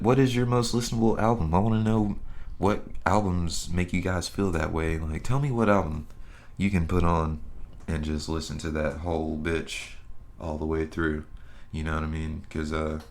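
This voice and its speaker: Young male podcast host, deep voice, loose rambling delivery, casual swearing. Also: moderate pace. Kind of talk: casual solo podcast chat.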